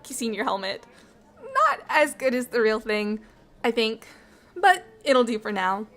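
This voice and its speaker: High-voiced, bubbly alien ambassador played by a woman. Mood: affectionate, sincere. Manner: expressive, earnest, slightly formal wording, halting when moved. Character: bubbly, affectionate alien ambassador, curious and naive about humans. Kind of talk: ASMR roleplay acting, intimate one-sided dialogue.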